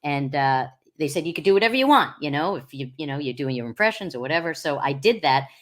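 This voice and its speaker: Deep-voiced woman, rambling guest chat. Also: expressive, fast, a bit bright. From Long Island, New York. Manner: rambling with many fillers and restarts. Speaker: podcast guest, comedian. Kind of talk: casual interview chat.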